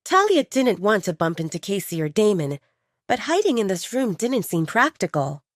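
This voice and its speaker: Woman doing dramatic audiobook narration, medium pitch that moves around a lot, really dry close sound. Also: moderate pace, dry recording. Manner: expressive, dramatic storytelling. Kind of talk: dramatic scripted narration of a romance story.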